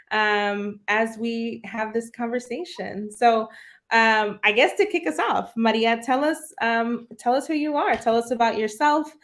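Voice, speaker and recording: A woman hosting a casual live online interview, introducing things and prompting her guest conversationally. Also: fairly high pitch. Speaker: host, nonprofit deputy director.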